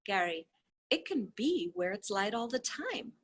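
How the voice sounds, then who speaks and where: A woman with a husky, breathy voice at a middle pitch reads a book aloud as a host. Her delivery is effusive and casual, with dramatic emphasis and drawn-out words. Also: very animated, a bit bright. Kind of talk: read-along with casual asides.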